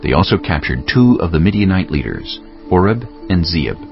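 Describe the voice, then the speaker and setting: Very deep gravelly male narrator. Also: sing-song, normal speed, lo-fi sound, soft background music. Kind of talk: scripted scripture narration.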